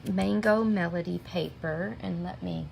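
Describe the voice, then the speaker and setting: A middle-aged woman walks viewers through a craft project, her low voice heavily gravelly and a little stuffy from a cold. She chats casually and greets viewers by name as she works. A steady noise sits under the camera-mic recording. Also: measured pace, rough recording. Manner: chatty, step-by-step, talks to viewers by name. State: sniffling with a minor cold. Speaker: stamping craft demonstrator. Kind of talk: live craft tutorial. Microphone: camera mic at desk. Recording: noticeable background noise.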